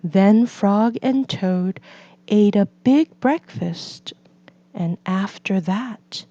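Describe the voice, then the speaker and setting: A woman reading a children's story aloud as scripted narration, in a measured, deliberate manner. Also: medium pitch, dark tone, no room sound, muffled recording. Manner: measured, deliberate delivery for learners. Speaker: storybook reader.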